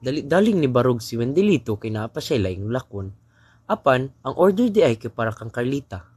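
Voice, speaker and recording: Cebuano man narrating with lively pitch. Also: a little fast, breathy edge. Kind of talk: scripted radio drama narration in storytelling manner.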